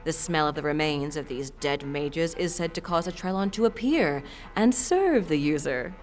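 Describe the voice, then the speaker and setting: A low female voice acted by a woman presents an exotic fantasy shopkeeper. She is theatrical and salesmanlike, relishing each word as she pitches her curiosities. Music plays behind her in this audio drama. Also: expressive, normal speed. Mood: storytelling. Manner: chuckling, savoring her words, salesmanlike.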